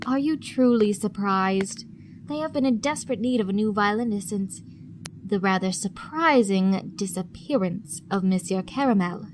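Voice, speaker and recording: A woman playing a composed, formal mature pony madam in an audio drama, measured and politely chiding. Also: medium pitch, slight background noise, lightly acted. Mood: measured, pointed.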